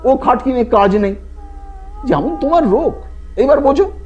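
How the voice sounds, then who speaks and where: A man's voice: very high-pitched, expressive, normal speed, darkish tone, dull audio, voice pushed up. A man voicing a sceptical, easily frightened friend in an audio story, with a jumpy manner. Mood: scolding. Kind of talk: acted dialogue in an audio story. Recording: music behind the voice.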